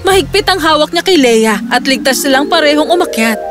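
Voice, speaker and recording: High-voiced woman narrating a fairy tale. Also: expressive, fairly quick, music in the background.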